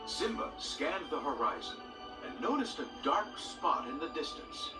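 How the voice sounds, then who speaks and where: A man narrating a read-along storybook in a lively, expressive way. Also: a little high, measured pace. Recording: roomy distant audio with loud music. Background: loud orchestral music.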